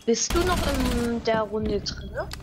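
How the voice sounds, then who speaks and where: A woman's voice: on the high side, lively intonation, measured pace. A woman chatting casually as a co-player in a game, blunt and slangy. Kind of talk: casual gaming voice chat. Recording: noisy gaming voice chat.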